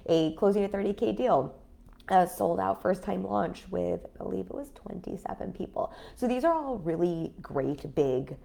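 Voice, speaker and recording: A woman in her thirties talking casually on a livestream as a business coach, her voice raspy after an illness, chatty with many fillers. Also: fairly low pitch, animated, normal speed, warm tone. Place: home in a city apartment.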